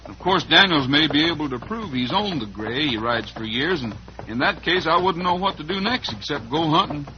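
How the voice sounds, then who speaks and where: An actor playing a steady, fair-minded cowboy hero in an old-time western radio drama. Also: male voice, a little high, moderate pace, noisy background, lightly acted. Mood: thoughtful. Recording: muffled vintage radio broadcast.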